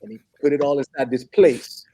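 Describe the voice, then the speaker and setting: A man in a comedy table read playing an apologetic, flustered suitor who stammers and fibs, very polite and halting. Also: mid-range voice, dark tone, lightly acted, poor audio. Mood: nervous fibbing. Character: apologetic, flustered man, nervously fibbing to impress his date.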